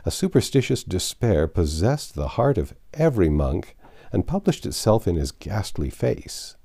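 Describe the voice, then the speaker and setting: Guy reading an old novel aloud, really animated pitch, dramatic measured phrasing, dry recording. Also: creaky, no room sound. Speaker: audiobook narrator. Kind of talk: expressive literary narration.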